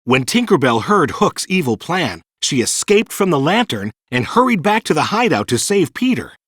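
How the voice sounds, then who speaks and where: A man reading a children's storybook in an animated, dramatic storytelling manner. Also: a little high, normal speed, no room sound, studio quality. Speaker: storybook narrator. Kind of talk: scripted children's storybook narration.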